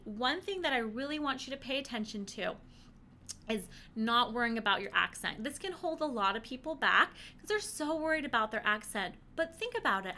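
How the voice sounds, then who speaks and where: A woman giving an upbeat, chatty lesson to parents, encouraging and animated, with frequent rhetorical questions. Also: on the high side, slight background noise. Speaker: presenter teaching parents. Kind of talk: upbeat conversational instructional talk to camera.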